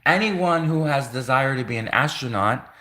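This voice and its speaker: A man's voice: mid-range voice, lively intonation, normal speed, sharp tone. A man who is a native Russian speaker, talking casually on a livestream in an earnest, rambling way and repeating phrases for emphasis. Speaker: livestream host.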